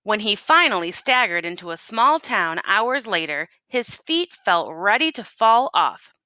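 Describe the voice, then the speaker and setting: A woman reading a picture book aloud as a teacher would, in an expressive, folksy storytelling manner. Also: medium pitch, unhurried, no room sound. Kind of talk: animated picture-book read-aloud to listeners.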